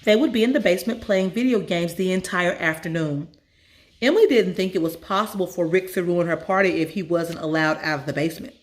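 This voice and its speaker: A woman reading a children's story aloud as a storyteller, with measured, expressive phrasing and dramatic emphasis. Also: lowish voice. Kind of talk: expressive scripted story narration.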